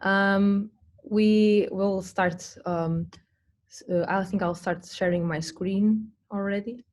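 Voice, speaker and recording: A woman's voice: medium pitch, steady tone, slow, darkish tone. A young adult woman from Portugal presenting an online design workshop over a video call, with frequent ums and restarts. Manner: hesitant, many ums and restarts.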